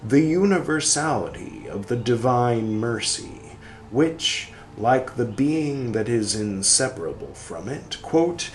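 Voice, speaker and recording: A man hosting a podcast, reading a text aloud and speaking its punctuation, with quiet wry asides between passages. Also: medium pitch, big pitch swings, a little hiss or hum, poor audio. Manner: reads slowly, speaking the punctuation aloud and trailing into whispered asides.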